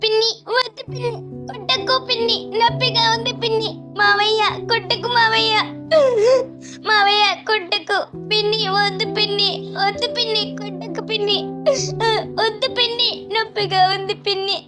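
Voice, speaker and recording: A woman plays a mistreated young stepdaughter in a children's moral tale. The voice is extremely high, emotional and full of protest. Music is clearly heard behind it. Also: little pitch movement, normal speed. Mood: crying, pleading. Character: mistreated young stepdaughter, defiant yet pleading. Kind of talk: animated children's story character acting.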